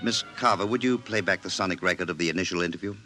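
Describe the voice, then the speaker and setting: An actor playing a formal, measured psychiatrist in a 1950s radio drama, probing and clinical. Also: male voice, deep voice, sharp tone, soft background music. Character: authoritative male psychiatrist dictating a case report, clinical and probing.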